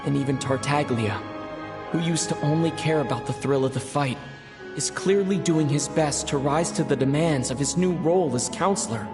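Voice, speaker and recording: An actor playing a polite, forthright young traveler in a video game, speaking in a measured, direct way. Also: male voice, fairly high pitch, over music, close to an everyday voice. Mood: fair-minded. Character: polite, forthright young male traveler questioning those in power.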